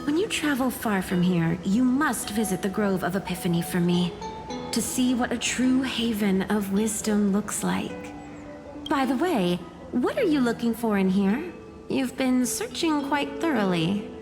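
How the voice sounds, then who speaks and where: Kindly village schoolteacher over music. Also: female voice, fairly high pitch, lively intonation, unhurried. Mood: kindly, gentle. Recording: clear music behind.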